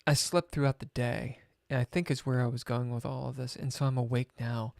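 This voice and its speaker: A man on a live stream, telling a rambling story in a sardonic, swearing manner, with loud outbursts and whispered asides. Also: mid-range voice, level tone, fairly quick, creaky, no room sound, studio quality. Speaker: chess streamer.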